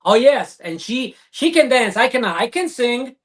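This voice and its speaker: A man hosting an online interview show over a video call, effusive and halting with many fillers and restarts. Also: extremely high pitch, sing-song. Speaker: show host.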